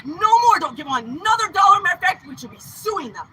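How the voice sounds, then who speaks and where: A woman making an angry, accusing speech to a crowd outdoors, shouting at times. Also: very high voice, big pitch swings, quick pace, a little hiss or hum. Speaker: convoy protester addressing the group. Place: outdoor parking lot.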